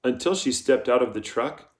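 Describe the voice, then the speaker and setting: A man's voice: mid-range voice, lively intonation, moderate pace, reverberant. A man reading a children's novel aloud as scripted audiobook narration. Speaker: audiobook reader.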